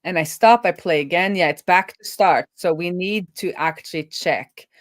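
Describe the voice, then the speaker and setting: A woman hosting a casual live coding stream, thinking out loud as she works and often trailing off and rephrasing. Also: on the low side, moderate pace, no echo, studio quality. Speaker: host, web developer live coding.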